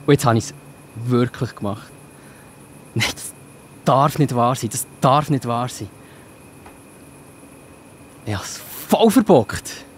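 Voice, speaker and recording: A man's voice: medium pitch, lively intonation, slow, pauses often, slight background noise. A man with a Swiss German accent playing a frightened, guilt-stricken Bible disciple in an emotional children's audio drama. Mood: remorseful. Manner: emotional, exclamatory.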